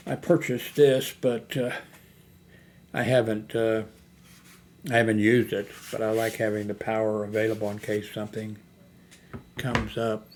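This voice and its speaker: Older male vlogger, low voice, halting off-the-cuff product chat, some background noise on the recording. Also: level tone. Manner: hesitant, halting, thinking aloud. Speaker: YouTube host. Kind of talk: rambling, unscripted screen-browsing product chat.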